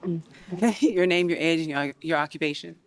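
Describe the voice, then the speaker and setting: A woman hosting a dating show, briskly repeating set prompts to the contestants. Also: sits low, slight background noise.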